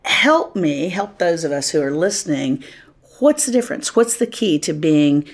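Low-voiced woman host, affirming. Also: animated, normal speed. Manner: affirming, frequent "right" and "yeah" while listening. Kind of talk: interview conversation.

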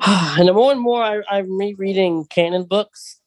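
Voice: mid-range voice, a little slow, dry recording. A podcast co-host chatting casually about films and books.

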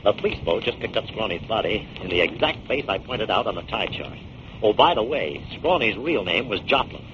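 A confident detective from a 1940s radio serial. He explains things with assurance and a very animated, swinging pitch. The old recording is dull, with faint noise. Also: a little fast. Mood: explaining. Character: confident male detective explaining a solved case. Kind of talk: 1940s radio drama acting.

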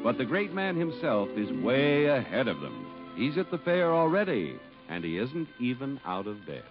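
A man's voice: mid-range voice, huge pitch swings, normal speed, over music. A man announcing in 1950s radio style, with an emphatic, polished sales delivery of scripted copy. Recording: dull vintage radio recording.

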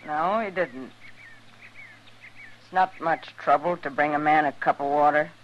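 Stern American frontier farm wife, radio western: woman of few words, deliberate, unyielding; dull vintage sound. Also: low-pitched, slight background noise. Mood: controlled, pointed. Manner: terse, deliberate. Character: grim, resolute frontier farm wife and mother. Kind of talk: old-time radio western acting.

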